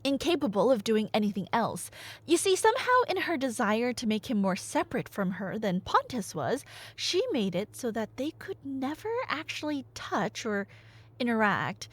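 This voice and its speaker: A woman's voice: on the high side, very animated, normal speed, slightly rough, no echo. A woman playing a nerdy girlfriend who tells myths in a casual, rambling ASMR roleplay style, with wry, hesitant asides. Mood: engrossed storytelling. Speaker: ASMR audio roleplay performer.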